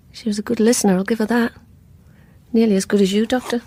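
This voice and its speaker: An actress playing a bitter, vengeful confined woman confiding in her doctor in a radio play monologue, brooding and wryly resentful, with a soft, breathy voice. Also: on the high side, steady tone, a little fast, close to an everyday voice. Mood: bitter musing.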